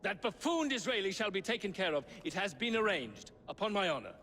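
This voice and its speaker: An actor playing a pompous, indignant Victorian aristocrat who blusters and takes offence easily. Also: male voice, high voice, big pitch swings, fairly quick, pushed and bright, full character voice. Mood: assuring. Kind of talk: video game cutscene acting. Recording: long hall reverb, faint background noise.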